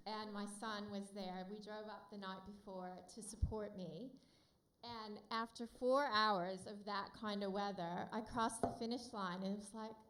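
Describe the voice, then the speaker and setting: A woman with an American accent giving a friendly, loud conference talk with many fillers to a room of support workers. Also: mid-range voice, fairly even intonation, normal speed, roomy sound, low-quality recording. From United States. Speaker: company speaker at a summit. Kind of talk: conference presentation.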